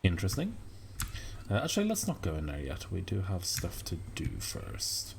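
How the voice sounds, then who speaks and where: A man streams a game from his home computer and talks into a desk mic. His voice sits low but swings widely as he chats, soft-spoken and thankful toward his viewers. Faint keyboard clicks sit under his voice. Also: wildly swooping pitch, normal speed, gravelly, a little hiss or hum. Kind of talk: casual live-stream chat while gaming.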